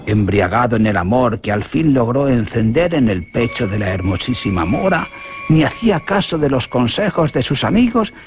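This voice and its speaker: A man with a Spanish accent from Spain, narrating a legend for a radio drama in an expressive, theatrical way. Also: mid-range voice. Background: music.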